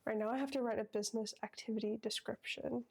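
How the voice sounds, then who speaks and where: A woman's voice: on the high side, steady tone, fast talker, gravelly, a little mellow. A young woman with a cold, sounding stuffed up, chatting casually and apologetically about her art business while talking into a phone as a microphone in her home studio. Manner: chatty, self-deprecating, apologetic. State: congested with a cold. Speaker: artist vlogger. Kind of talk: casual chat to camera.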